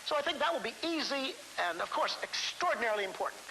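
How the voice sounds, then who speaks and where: Halting, deliberate man on hissy old film. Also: very high-pitched, lively intonation, sharp tone, rough recording. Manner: halting, deliberate, repeats phrases for emphasis. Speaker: scientist interviewee. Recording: hissy vintage 1970s film soundtrack.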